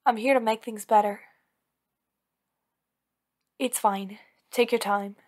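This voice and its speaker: Woman acting a high-voiced, clingy villain girlfriend. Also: steady tone, slow pace, a little mellow. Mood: gentle, concerned. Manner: intimate, earnest, pleading, insistent. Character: possessive, devoted female villain lover, anxious and clingy. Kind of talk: ASMR roleplay acting, one-sided dialogue.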